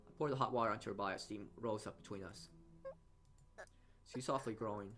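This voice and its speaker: Male let's player, low register, reads story text aloud, trips and restarts, game music underneath. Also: brisk, a bit bright. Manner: stumbles and corrects himself while reading. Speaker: let's player reading a visual novel aloud. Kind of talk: reading game narration aloud with asides.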